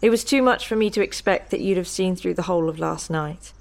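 A woman's voice: lowish voice, brisk, creaky. Eerie, entranced young woman, acted by a woman, music behind. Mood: calm, detached. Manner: flat monotone that rises to sing-song. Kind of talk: horror audio story acting.

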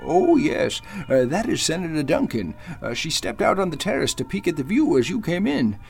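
A man's voice: a little high, big pitch swings, moderate pace, gravelly. A man playing a courteous, slightly forgetful elderly judge in a radio drama, speaking in a hesitant, courtly way. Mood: genial, courtly. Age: older. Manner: hesitant, courtly, chuckling speech. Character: genial elderly judge, courteous, mildly forgetful old gentleman. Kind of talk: golden-age radio drama acting.

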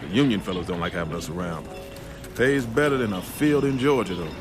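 An actor playing a proud, folksy migrant stockyard worker in a historical radio drama. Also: male voice, fairly low pitch, expressive, brisk, natural voice. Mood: proud, contented. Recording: street scene with music behind.

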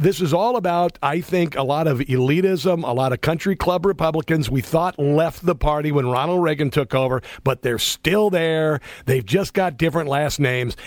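Chummy, rambling talk radio host. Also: male voice, on the high side, no room sound, studio quality. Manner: rambling, chummy, laughs often. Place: radio studio. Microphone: studio microphone.

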